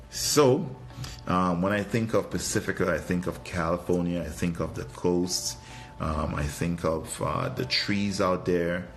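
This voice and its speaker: A man from Trinidad giving a casual live product review, thinking aloud in a hesitant, musing way and often repeating his phrases. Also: sits low. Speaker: fragrance reviewer and livestream host.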